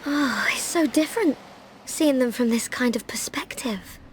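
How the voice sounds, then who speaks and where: An actress playing a hopeful, sweet young heroine in a video game, with a breathy voice. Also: female voice, high-pitched, expressive, a little slow, a little hiss or hum. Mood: wonder. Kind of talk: dramatic video game voice acting.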